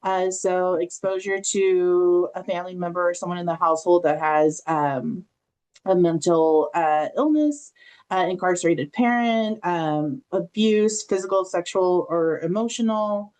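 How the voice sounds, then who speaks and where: American lady doing a webinar talk, kinda low voice, conversational n a bit halting, reflective. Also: darkish tone. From Southern California, United States. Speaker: family engagement specialist presenting a training session. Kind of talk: informal webinar presentation with personal anecdotes.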